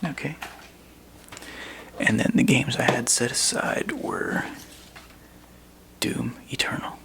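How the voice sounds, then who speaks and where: A man plays a laid-back game store clerk in an ASMR roleplay. He murmurs and whispers close to the mic at a medium pitch, with a lot of crackly fry. His delivery is casual and wry, as if chatting one-on-one with a customer. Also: steady tone, slow. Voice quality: breathy whisper and soft low murmur. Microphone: close microphone.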